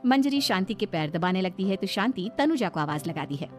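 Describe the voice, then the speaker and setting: A woman's voice: mid-range voice, big pitch swings, fairly quick. Woman narrating a story over music. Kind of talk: scripted story narration for a cartoon. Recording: faint music behind the voice. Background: background music.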